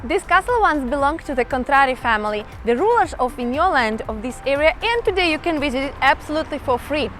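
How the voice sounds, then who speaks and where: Chatty high-voiced woman vlogging outdoors. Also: expressive, quick pace, few pauses, fairly bright tone, faint music behind. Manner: chatty, enthusiastic, addresses viewers as guys. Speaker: travel vlogger. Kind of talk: casual travel vlog to camera. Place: outdoors in a small town.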